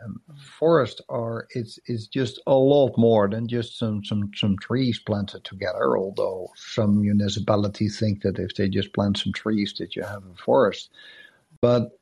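A man with a low, gravelly voice that turns husky as he starts losing it. He talks in halting, looping sentences, repeating words while he gathers his thoughts. His tone is dark and muffled. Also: steady tone. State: losing his voice, clearing throat. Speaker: podcast co-host. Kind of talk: casual podcast chat. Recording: call-in app audio.

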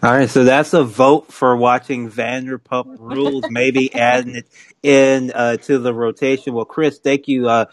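A man's voice: mid-range voice, little pitch movement, measured pace. A man hosting a call-in podcast, talking casually and bluntly and laughing often. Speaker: podcast host.

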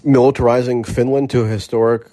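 A man's voice: mid-range voice, flat delivery, quick pace, dark tone. A man hosting a call-in podcast, careful and qualifying what he says, with frequent restarts. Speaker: podcast host. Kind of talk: casual chat.

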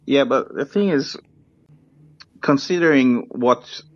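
A man's voice: mid-range voice, slow, dry recording, dull audio. A man from Sweden calling in, speaking English with a Swedish accent, hesitant and apologetic, restarting his sentences often. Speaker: caller. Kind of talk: call-in chat.

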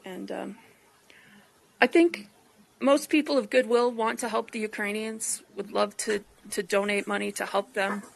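Female podcast host, political talk, mid-pitched voice with noticeable vocal fry. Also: steady tone, measured pace, rough recording.

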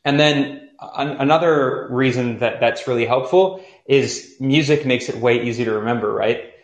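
A young man hosts a call-in show in an easy, rambling way. He stops and restarts often while he gathers his thoughts, and the room gives his voice a clear echo. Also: medium pitch, moderate pace. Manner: rambling, thinks aloud with many fillers. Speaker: podcast host taking calls. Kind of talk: casual call-in chat. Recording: roomy echo.

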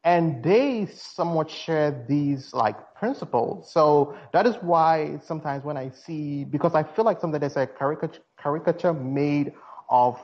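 A man's voice: on the high side, normal speed. A man from Ghana with a Ghanaian accent, calling in and chatting casually, rambling with many fillers and restarts. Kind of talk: casual call-in chat. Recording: dull lo-fi line.

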